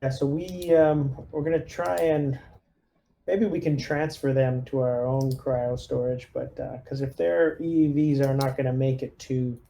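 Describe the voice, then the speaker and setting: A man's voice: mid-range voice, steady tone, a little slow, vocal fry, warm tone. A man chatting casually as a player in a roleplaying game, thinking aloud with some hesitation. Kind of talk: casual chat during a game.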